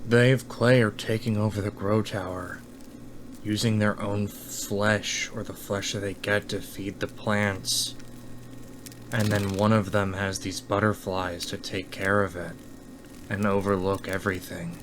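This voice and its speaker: Guy playing tabletop rpg, low flat voice, talks things through in character. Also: unhurried, a little hiss or hum, poor audio. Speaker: tabletop game player.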